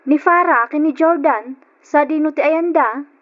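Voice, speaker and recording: A woman's voice: sits high, unhurried, muffled recording. A woman with an Ilocano accent playing a dutiful, devout, hardworking daughter in an old radio drama. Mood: earnest. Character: dutiful, devout, hardworking daughter supporting her poor family.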